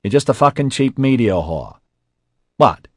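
This is a man playing a sleazy, bullying boss in an audiobook. He is profane and aggressive, pushing his voice up and loud with a mocking sneer, and it has a husky, rough edge. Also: medium pitch, sing-song, measured pace, dry recording, clean studio sound, pitched above the performer's own voice.

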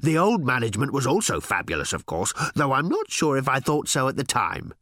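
A man's voice: medium pitch, big pitch swings, a little fast, bright, forceful tone, dry recording, studio quality. A man voicing a small flying robot that fawns over everyone, breathless and swooning with delight. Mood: gushing. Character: gushing little flying robot, fawning, ecstatic.

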